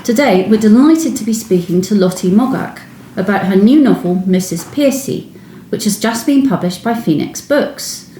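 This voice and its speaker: A woman's voice: mid-range voice, animated, moderate pace, hardly any pauses, warm tone. A woman hosting a podcast, reading prepared introductions and putting questions to a guest. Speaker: podcast host and interviewer. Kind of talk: scripted introduction and interview questions. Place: indoors in a room at the guest's home. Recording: roomy echo, faint background noise.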